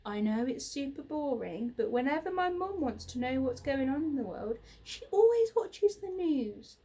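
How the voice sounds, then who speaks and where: A woman's voice: high voice, sing-song, normal speed, mellow. A woman voicing a sensible young girl in a children's storybook, earnest and emphatic as she takes charge. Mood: urgent.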